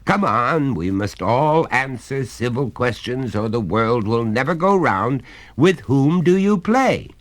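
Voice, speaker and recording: A man's voice: medium pitch, animated, slow pace, pitched above the performer's own voice. A man voicing a confident, patronising father who lectures his small son in a coaxing, superior way. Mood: lecturing. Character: confident, patronising middle-aged English father. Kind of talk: audiobook reading.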